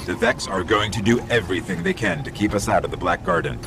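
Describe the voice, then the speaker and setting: A man's voice: on the low side, lively intonation, fast, creaky, noisy background. An actor playing a small, curious male robot AI companion in a video game, speaking in earnest, clipped remarks. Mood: resolute. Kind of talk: video game cutscene voice acting.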